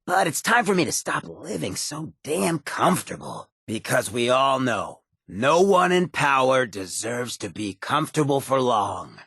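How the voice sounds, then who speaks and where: An actor voicing a roguish, wry ghoul mayor in a video game, with a raspy voice and a sly, streetwise, swaggering drawl. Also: male voice, mid-range voice, very animated, a little slow, few pauses, bright, forceful tone, no echo, clean studio sound, exaggerated voice. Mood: earnest, resolute. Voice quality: raspy, gravelly. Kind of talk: video game character dialogue.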